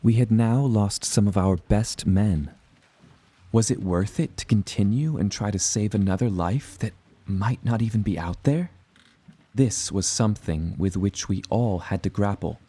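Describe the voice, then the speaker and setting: A man narrating dramatic scripted audio fiction in the first person, with measured, deliberate storytelling. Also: lowish voice, dark tone, breathy edge. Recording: music behind the voice.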